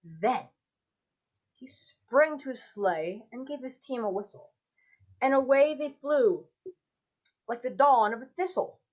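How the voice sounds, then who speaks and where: A woman's voice: sits high, animated, measured pace, pauses often. A young woman acting in a radio play as a parent recounting a Christmas Eve visit with dramatic wonder, over a video call. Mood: storytelling. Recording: dull video call audio.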